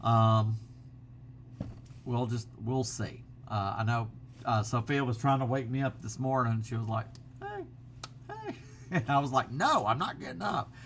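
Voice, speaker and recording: A man's voice: medium pitch, very animated, a little slow, slight background noise. A middle-aged man, chatting casually to his camera at home, rambling through fillers and restarts. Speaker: vlogger. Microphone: built-in laptop camera mic.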